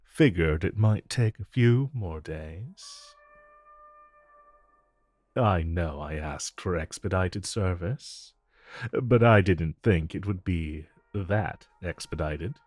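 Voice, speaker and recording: A man playing a smug, flirtatious supervillain in a romantic audio roleplay, coaxing and teasing the listener with drawn-out phrasing. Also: deep voice, darkish tone, dry recording, faint music behind. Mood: flirty, coaxing.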